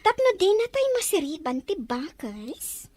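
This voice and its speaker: A woman's voice: sits high, big pitch swings, measured pace, a little mellow, no room sound. A woman playing a sly, falsely sweet young schemer in an Ilocano-accented audio drama. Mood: sly, scheming. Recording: faint background noise.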